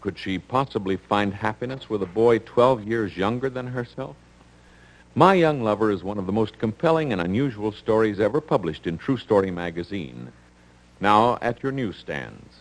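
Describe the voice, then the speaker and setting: A man's voice: fairly low pitch, expressive, moderate pace, poor audio. An American man hosting a radio drama, reading scripted narration in a 1950s announcer style.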